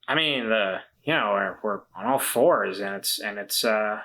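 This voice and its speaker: A man's voice: fairly low pitch, a little slow, bright, forceful tone, pitched below the performer's own voice, full character voice. A man playing an uneasy, evasive character turning into an animal, stammering and repeating himself in a tabletop roleplaying game. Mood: hesitant, unsettled. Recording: faint guitar music behind the voice.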